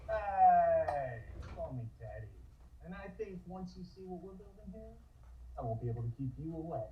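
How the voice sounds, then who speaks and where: A man's voice: on the high side, sing-song, a little hiss or hum. Man playing smug tipsy town boss, roomy sound. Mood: tipsy, genial. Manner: halting, folksy, self-assured. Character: smug, tipsy male Prohibition-era small-town boss. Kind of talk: comic-book table read acting. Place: indoor room on a multistream call. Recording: dull, roomy remote-stream audio.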